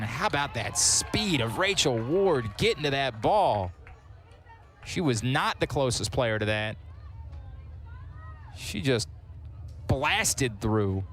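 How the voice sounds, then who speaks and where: A man calling live play-by-play on a sports broadcast, with wry asides between plays. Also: mid-range voice. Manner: quick, excitable calls on big plays. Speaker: sports commentator.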